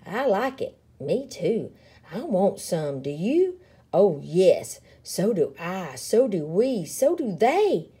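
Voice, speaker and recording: A woman voicing small, excitable prairie dogs who chatter and exclaim eagerly in a picture-book reading. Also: mid-range voice, slow pace, breathy edge, full character voice. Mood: eager, defiant.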